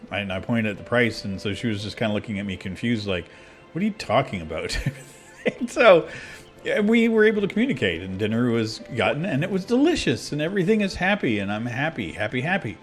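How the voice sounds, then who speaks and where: A man's voice: mid-range voice, big pitch swings, a little fast, faint music behind. A man talking casually and candidly while playing a video game, rambling with many fillers and restarts. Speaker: gaming video creator. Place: abroad, recording at a computer.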